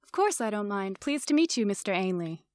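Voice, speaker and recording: An actress playing a courteous, diplomatic alien president in an audio drama, speaking in a formal, measured manner. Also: female voice, mid-range voice, very animated, no room sound, studio quality, close to an everyday voice. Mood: formal, gracious.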